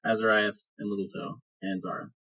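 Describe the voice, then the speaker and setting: Husky, forceful man running a fantasy game. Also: lowish voice, flat delivery, brisk, highs cut off. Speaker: game master. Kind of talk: narrating a tabletop fantasy game, casual.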